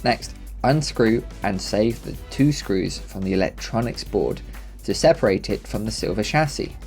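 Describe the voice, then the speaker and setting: Low-voiced man narrating a tutorial. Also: animated, moderate pace, gravelly, a little mellow. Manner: methodical, instructional. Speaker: maker channel presenter. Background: background music.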